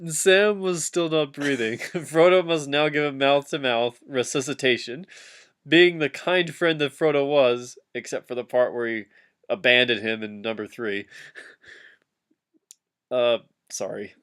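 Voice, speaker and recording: A man's voice: mid-range voice, steady tone, a little slow, fairly bright tone, smooth. A man doing a comic dramatic reading of fan fiction, giggly and often breaking off to heckle the text with asides.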